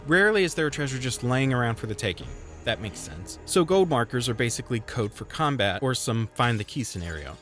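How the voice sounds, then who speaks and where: A man of middle pitch narrates a video game review over faint background music. He reads from a script but stays conversational and wry, dropping self-deprecating asides as he goes. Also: big pitch swings, fairly quick, few pauses, a bit bright.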